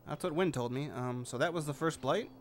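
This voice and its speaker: A man's voice: fairly high pitch, brisk, slight background noise. A man giving casual, offhand commentary as he plays a video game, with a sarcastic streak. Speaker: gamer, let's play host.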